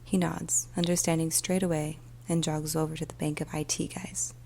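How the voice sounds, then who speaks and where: A woman voicing a weary, troubled police emergency dispatcher in a soft, often whispered ASMR storytelling monologue, speaking slowly and deliberately. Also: low voice, flat delivery, vocal fry, slight background noise. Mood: hushed, tense. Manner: slow, hushed, deliberate, with pauses. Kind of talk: ASMR storytelling, first-person dramatic monologue.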